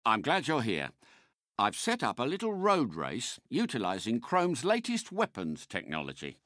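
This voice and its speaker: An actor playing a suave, courteous British spy car in a video game, a polite and unflappable mentor with refined, precise phrasing. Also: male voice, mid-range voice, huge pitch swings, normal speed, fairly bright tone, no echo, clean studio sound. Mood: briskly instructive. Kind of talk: scripted game character voice lines.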